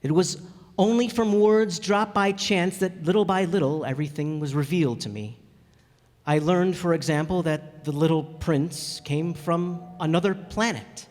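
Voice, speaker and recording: A man's voice: high-pitched, a little slow. A man playing a stranded pilot who narrates and talks in a radio drama, reflective but easily flustered. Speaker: narrator and character. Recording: long hall reverb, music behind.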